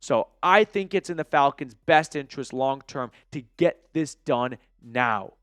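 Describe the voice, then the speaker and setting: A man's voice: medium pitch, animated, measured pace, fairly bright tone, clear, resonant tone, no room sound, studio quality. An American man hosting a sports show, giving opinionated, emphatic commentary point by point in a conversational style aimed at viewers.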